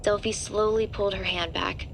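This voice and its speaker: A woman's voice: mid-range voice, fairly even intonation, normal speed, vocal fry, slight background noise. A woman delivering scripted third-person narration for a horror audio drama. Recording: music playing underneath.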